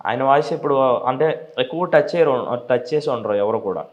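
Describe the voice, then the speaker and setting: A man's voice: mid-range voice, fairly quick, dull audio. A man explaining his mimicry craft in a casual interview style. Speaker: mimicry artist.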